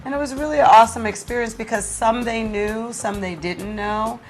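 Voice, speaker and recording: A woman's voice: a little high, fairly even intonation, normal speed, soft background music. A middle-aged woman, a teacher, explaining things conversationally as a guest in an interview. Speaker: high school drama teacher, interview guest.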